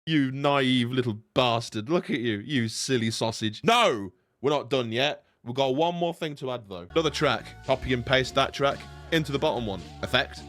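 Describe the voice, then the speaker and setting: A man's voice: medium pitch, normal speed, few pauses, fairly bright tone, dry recording, faint music behind. A man in his twenties hosting a casual, playful, sweary tutorial, speaking close to a desk microphone in a bedroom. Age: 20s. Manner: playful, sweary, mock-teasing. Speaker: tutorial host. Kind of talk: casual jokey software tutorial. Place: small bedroom, indoors. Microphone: desk boom-arm microphone, close.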